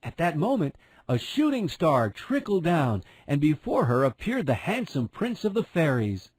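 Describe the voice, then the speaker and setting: Guy telling a kids fairy tale, kinda husky voice, very dry close sound. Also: fairly high pitch, big pitch swings, normal speed, a little mellow, dry recording. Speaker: storyteller narrator. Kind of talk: scripted fairy tale narration.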